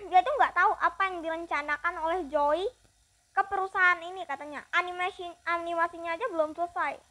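A woman's voice: very high voice, steady tone, brisk, bright, forceful tone. A young woman with an Indonesian accent giving casual let's play commentary as a gaming streamer.

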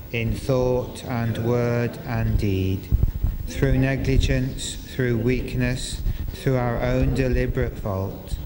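An older man leading a church service, reading liturgy and prayers to a congregation in a measured, formal way, phrase by phrase. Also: mid-range voice. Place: inside a parish church, congregation present. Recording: echoey church hall with noisy ambience.

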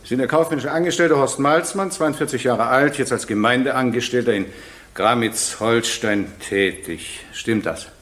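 An actor playing a stern, insistent judge in a radio courtroom drama, formal and probing. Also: male voice, mid-range voice, normal speed, fairly bright tone, a little hiss or hum, natural voice. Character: stern, insistent male judge questioning a witness.

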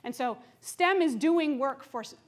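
A woman with a slightly high voice answers questions from a lecture hall stage. She is thoughtful and restarts often, trailing into asides before returning to her point. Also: animated, a little slow. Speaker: keynote speaker answering questions.

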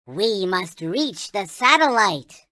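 A man's voice: high voice, sing-song, takes it slowly, crowd noise, heavily acted. A man voicing a tiny, childlike alien toy in a video game, speaking with reverent wonder in formal, chant-like phrases. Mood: solemn wonder. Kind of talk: video game character voice clips.

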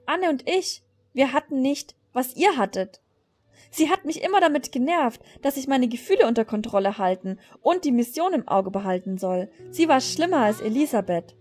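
Bitter young horsewoman for a fantasy game, read aloud by a woman: brooding, guilt-laden, higher-pitched, music underneath. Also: animated, normal speed, a little mellow. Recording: music behind the voice.

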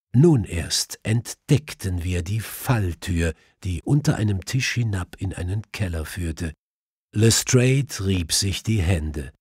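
A man's voice: sits low, darkish tone, breathy edge, dry recording, clean studio sound. A man reading scripted narration as the narrator of a detective audiobook.

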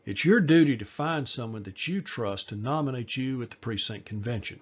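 A man reading a scripted informational voice-over, measured and explanatory, slowing down for key details. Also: mid-range voice, animated, husky, highs cut off. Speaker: party presenter.

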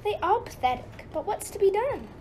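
A woman's voice: very high-pitched, sing-song, normal speed. A woman playing a cautious, haughty goddess in an amateur radio play, with a conspiratorial manner. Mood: conspiring. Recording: noticeable background noise.

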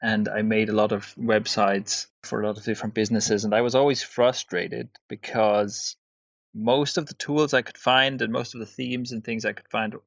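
A man's voice: on the low side, fairly even intonation, normal speed, creaky, no echo, muffled recording. A man from Switzerland, a guest entrepreneur, explaining his business in casual interview answers, plain-spoken and tagging points with "right?".

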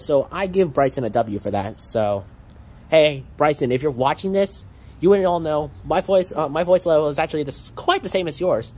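Teen boy, reaction host, excitable and exclaiming, lively swooping pitch, phone mic on an outdoor patio. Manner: excitable, exclaiming, stumbling restarts. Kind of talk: casual reaction commentary. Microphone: phone camera pointed at a laptop.

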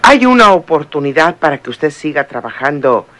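A woman's voice: low voice, sing-song, normal speed, noisy background. An actress playing a stern, scheming female head nurse who gives cold orders, in an old radio drama. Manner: authoritative, cold. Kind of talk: 1960s radio drama acting.

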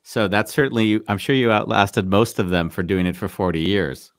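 Dry-miked podcast host. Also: male voice, lowish voice, steady tone, moderate pace, no room sound. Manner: probing, joking. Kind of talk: casual interview chat. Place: small room indoors. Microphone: headset with desk mic.

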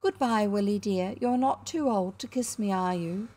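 A woman's voice: mid-range voice, moderate pace, mellow, close to an everyday voice. A woman voicing a gushing, condescending upper-class lady in a storybook, with a fluting, affected, cooing delivery. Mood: overfamiliar.

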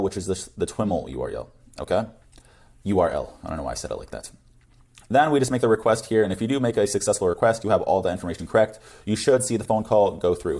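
A man's voice: lowish voice, a little mellow. A young man presenting a coding tutorial in a casual screencast style. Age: young adult.

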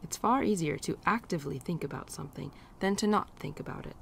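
Low, soft winter spirit played by a woman. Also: fast talker, vocal fry, a little hiss or hum. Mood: gentle, reflective. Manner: soft, tender, playful, often dropping to near-whisper. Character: gentle, teasing female winter spirit, affectionate old friend. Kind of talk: girlfriend ASMR roleplay, softly acted monologue. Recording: birdsong and outdoor ambience behind.

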